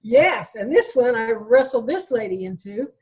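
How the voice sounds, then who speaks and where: A woman's voice: a little high, expressive, normal speed, darkish tone. An American woman in her sixties talking conversationally as an interview guest, telling her own story. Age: 60s.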